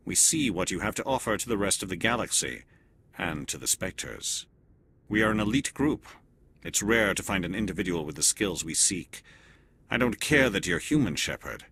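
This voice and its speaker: An actor playing a male turian alien agent in a video game cutscene, calm and clipped with quiet authority. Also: sits low, a little hiss or hum, heavily acted. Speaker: elite agent observing a mission.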